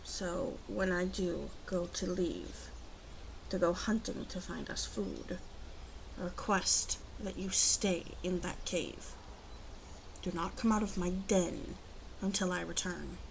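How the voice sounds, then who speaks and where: Woman doing a slow soft-spoken werewolf lady, creaky voice, protective and bossy, asmr roleplay with whispery bits. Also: on the low side, rough recording. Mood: stern, protective. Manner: slow, murmuring, often drops into whispers. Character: female werewolf, gently protective, gruff, bossy toward humans. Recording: clearly audible ambient background noise.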